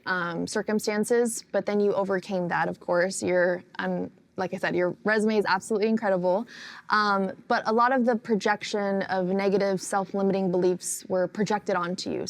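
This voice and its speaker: A woman hosting a podcast interview, reading a scripted intro and then asking questions with lively enthusiasm. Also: mid-range voice, normal speed. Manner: warm and enthusiastic, praising the guest. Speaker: podcast host.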